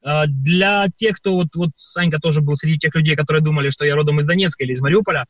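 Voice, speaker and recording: A man's voice: fairly high pitch, fast talker, no echo. Hesitant man on dry studio mic. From Ukraine. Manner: hesitant, many filler sounds, storytelling. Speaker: performer and guest. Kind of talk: radio interview answers.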